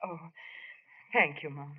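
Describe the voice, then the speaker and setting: An actress playing a sweet, accommodating young bride-to-be, polite and emotive, in a 1940s radio drama. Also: female voice, low-pitched, slow pace, husky, exaggerated voice. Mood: polite dismay. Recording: thin, muffled old radio broadcast.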